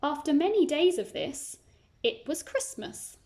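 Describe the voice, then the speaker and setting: A woman's voice: high voice, very animated, unhurried, darkish tone. A woman reading a children's story aloud, narrating expressively and adding simple asides for young listeners.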